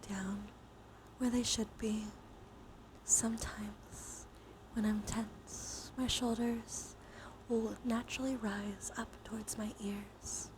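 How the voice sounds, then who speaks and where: A woman guides a relaxation exercise in a gentle, mostly whispered ASMR style. Her voice sits fairly high and she takes her time, with long, unhurried phrasing. Clear ambient noise runs behind the voice. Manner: soft, mostly whispered delivery; soothing, gentle guiding. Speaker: live streamer leading relaxation exercises. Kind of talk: ASMR guided breathing and body scan with chat asides.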